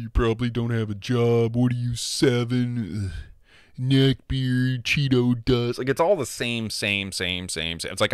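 A man in his thirties with a deep voice, ranting casually and profanely into a handheld mic held close, full of opinions. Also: smooth, dry recording. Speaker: gamer vlogger. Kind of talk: casual, ranting monologue to camera. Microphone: handheld microphone held close to the mouth.

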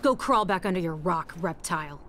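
A woman playing a tough, brisk young soldier in a video game, curt and confident. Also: lowish voice, lively intonation, a bit bright, slight background noise. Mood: defiant. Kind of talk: video game cutscene acting.

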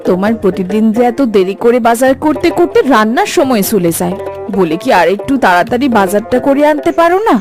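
A woman's voice: a little high, very animated, a little fast, a little mellow. A woman voicing a caring, mildly scolding village housewife and mother in a cartoon. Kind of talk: Bengali cartoon voice acting. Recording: music behind the voice.

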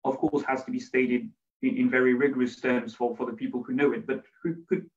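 A man's voice: medium pitch, steady tone, brisk. A man, a PhD student, giving an academic talk on a video call, often restarting his phrases. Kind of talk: academic presentation. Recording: distant, dull video call audio.

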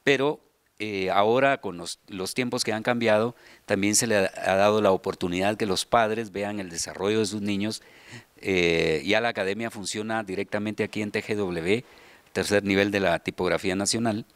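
A middle-aged man with a Guatemalan accent explaining things in an interview, often pausing to find his words. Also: lowish voice, a bit bright, no echo. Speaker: children's radio theater subdirector and voice announcer. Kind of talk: interview answers, explanatory talk. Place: large hall with seated group, indoors. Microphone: handheld interview microphone.

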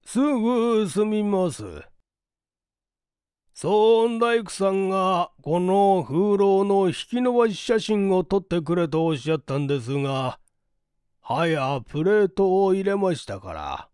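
A man's voice: high-pitched, animated, measured pace, darkish tone, smooth, dry recording, studio quality. A man voicing a dutiful male laboratory assistant in a mystery audiobook, polite and deferential.

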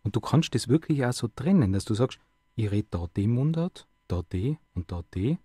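Man, radio host, mellow voice, dry close mic, conversational. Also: medium pitch, lively intonation, normal speed, slightly rough, no echo. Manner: conversational, asks many questions, tag words. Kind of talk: radio interview.

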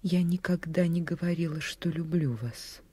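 A woman's voice: fairly low pitch, normal speed, mellow, slightly rough. An actress playing a dignified, sorrowful queen in a radio drama, speaking with solemn, regal restraint. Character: dignified, sorrowful queen resisting forbidden love. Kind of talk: theatrical radio drama acting. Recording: radio play with music underneath.

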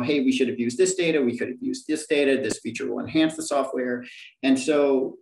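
A man speaking on a panel over a video call with a headset, quick and emphatic, often restarting his sentences. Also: mid-range voice, darkish tone, echoey room, distant mic, rough recording. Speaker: panelist, principal scientist. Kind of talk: panel discussion.

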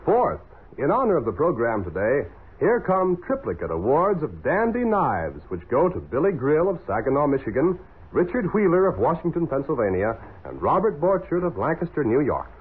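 A male announcer reads prizes for a 1940s children's show. He is raspy and swoops widely in pitch, on a tinny, muffled old radio recording. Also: wildly swooping pitch, moderate pace.

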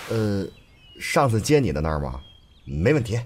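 A man's voice: on the low side, sing-song, normal speed, slight background noise. A man playing a loyal, cocky gang henchman in an audio drama, chatty and colloquial. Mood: casual, deferential.

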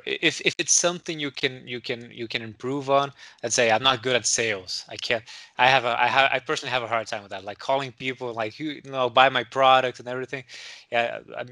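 A man in his thirties with a Brazilian accent, hosting through a headset on a video call. His voice is very bright and sharp, recorded dry and close, and he talks hesitantly with frequent restarts. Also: medium pitch, dry recording. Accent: Brazilian Portuguese. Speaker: host. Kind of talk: casual interview chat.

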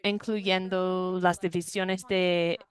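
A woman working as an interpreter, giving a steady, fluent spoken translation over a video call, with a few brief restarts. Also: lowish voice, slow pace, clear, resonant tone. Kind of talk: live consecutive interpretation into Spanish. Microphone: video call microphone.

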